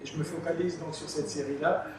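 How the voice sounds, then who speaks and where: A middle-aged man from the Republic of the Congo, an author answering interview questions in a measured, hesitant way with many fillers. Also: on the high side, fairly even intonation. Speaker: author and illustrator guest. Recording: echoey room, distant mic, faint noise.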